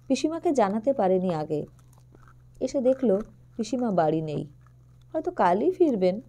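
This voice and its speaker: A woman narrating an audio story expressively, acting out the characters' lines. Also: mid-range voice. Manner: expressive storytelling with voiced dialogue.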